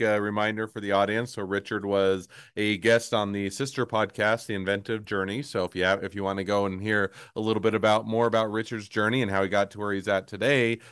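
A man hosting a podcast interview, talking in a halting way with many fillers and restarts. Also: on the low side, a bit bright, smooth, no room sound, clean studio sound. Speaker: podcast host.

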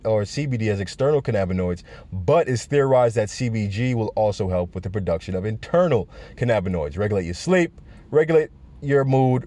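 A deep-voiced man, a podcast host, giving his opinions in a relaxed, conversational monologue and projecting his voice. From South Florida. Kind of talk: casual solo podcast rant.

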